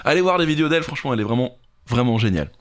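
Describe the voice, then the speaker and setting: A man's voice: mid-range voice, animated, racing. A man hosting a quiz podcast in a casual, playful way.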